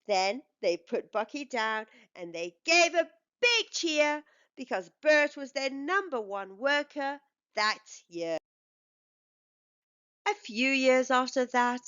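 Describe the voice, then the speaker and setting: High-pitched, animated woman reading a storybook. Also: smooth, lo-fi sound. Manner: expressive, deliberate. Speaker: storyteller reading aloud for children. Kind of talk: rhyming picture book narration.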